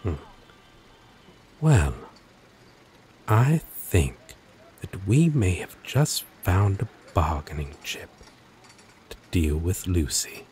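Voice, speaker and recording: A man's voice: sits low, lively intonation, a little mellow, slight background noise. A man playing a flirtatious, possessive demon lover in an intimate, teasing ASMR roleplay. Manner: slow, teasing, chuckling drawl.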